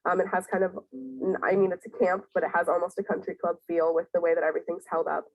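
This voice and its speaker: Composed woman with a lower voice. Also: little pitch movement, fast talker, darkish tone, lo-fi sound, soft background music. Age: 20s. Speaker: camp resource counselor. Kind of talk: testimonial interview.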